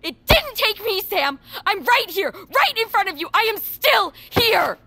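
A determined, wounded young woman in an audio drama. Her voice is creaky, in a very dry recording. She speaks bluntly but haltingly, hardened by hurt. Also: very high-pitched, big pitch swings, sharp tone, no echo, full character voice. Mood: hurt, angry. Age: young adult.

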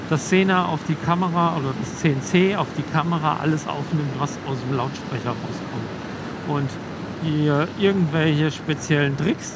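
A young man riding a motorcycle on country roads, casually explaining gear through his helmet intercom mic, relaxed, with frequent filler words. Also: on the high side. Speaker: motovlogger. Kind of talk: casual motovlog talk while riding. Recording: helmet mic with road noise. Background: road and vehicle noise.

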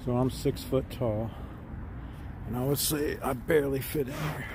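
A man in his forties gives a relaxed, plain-spoken walkthrough of a tent, filming himself on a phone outdoors in a backyard. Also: medium pitch, normal speed. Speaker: gear reviewer. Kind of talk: casual product walkthrough. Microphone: phone camera, handheld and at arm's length. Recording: phone recording outdoors with background noise.

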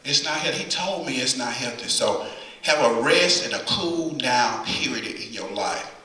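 Middle-aged male preacher, bright-toned, folksy, repeats himself for emphasis, caught by a distant camera in a ringing church. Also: mid-range voice, expressive, moderate pace. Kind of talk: conversational sermon with call and response. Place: church sanctuary at the pulpit. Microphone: far camera, pulpit mic. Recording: echoey church from far camera.

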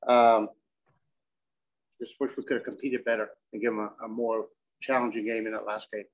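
A middle-aged man, a coach answering questions at a postgame press conference in a measured, halting way, often repeating words. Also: on the low side, flat delivery, poor audio. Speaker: softball coach. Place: indoor press conference room with curtain backdrop.

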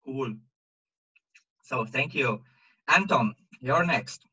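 Call host, slow halting man, dry close sound, earphone mic. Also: mid-range voice, expressive, sharp tone, breathy edge, no echo, highs cut off. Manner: halting, many pauses and fillers. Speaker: meeting host. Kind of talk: video call meeting.

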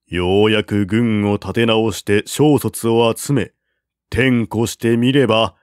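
A man reading a classic war novel aloud as narrator, in a measured, deliberate way. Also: on the low side, darkish tone, no echo, clean studio sound. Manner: measured, deliberate reading with raised voice for quoted generals.

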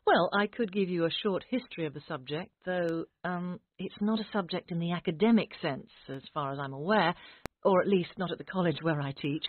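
A woman's voice: fairly low pitch, a little fast, no room sound. A woman explaining an academic subject in a conversational way, like a college teacher. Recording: thin phone-like audio, dry.

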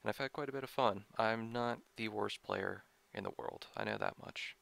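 A man's voice: lowish voice, moderate pace, gravelly, fairly bright tone, dry recording. A young man casually commenting on his gameplay, chatty and self-deprecating. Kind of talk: let's play commentary.

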